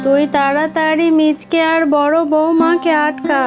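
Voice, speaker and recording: Cartoon woman voicing a kind family elder, high, gentle, music behind. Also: level tone, a little slow. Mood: pleading. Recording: loud background music.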